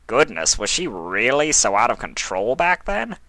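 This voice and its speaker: A man's voice: mid-range voice, sing-song, normal speed, bright, forceful tone, dry recording, pitched above the performer's own voice. A man voicing a mischievous, smug god of chaos in a storybook reading, with a mocking, theatrical lecturing manner. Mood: admiring.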